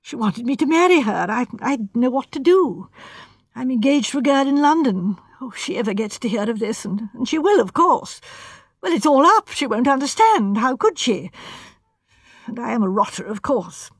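A woman's voice: sing-song, moderate pace, slightly rough, no room sound, full character voice. A woman voicing a nervous, distraught young male architect who stammers and pleads. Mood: miserable. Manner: stammering, high-strung, pleading.

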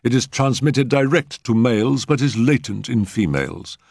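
A man's voice: medium pitch, expressive, vocal fry, a little mellow, no echo, clean studio sound, voice pushed up. Man putting on a pompous, genial eminent physician. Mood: dry, instructive. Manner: pompous, measured, emphatic lecturing.

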